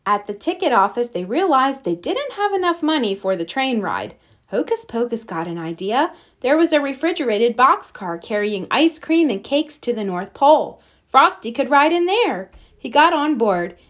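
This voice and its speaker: A woman reading a children's storybook aloud as narrator, with expressive storytelling. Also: high voice, moderate pace. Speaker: storybook reader.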